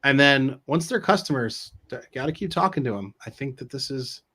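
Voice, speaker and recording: A man's voice: medium pitch, animated, moderate pace, pushed and bright, husky. Casual middle-aged man chatting informally. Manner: informal, peppered with rhetorical check-ins. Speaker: co-host of a business live stream. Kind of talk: casual conversational advice. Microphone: video call.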